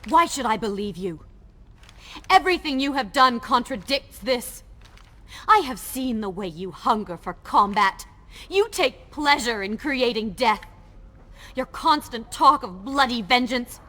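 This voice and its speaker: A proud, accusing young woman from a video game. Her voice is on the high side and dramatically stern, ringing with a long reverb. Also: sharp tone, full character voice.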